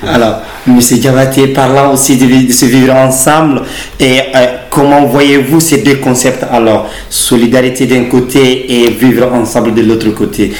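Male radio journalist, formal and slightly halting, recorded in a reverberant room. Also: medium pitch, little pitch movement, darkish tone. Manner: formal, hesitant questions with fillers. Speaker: interviewer, journalist. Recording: echoey room with faint ambient noise.

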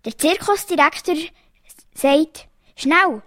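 A woman reading jokes aloud in a lively storytelling way, changing her voice for the quoted lines. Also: sits high, takes it slowly, dry recording, clean studio sound.

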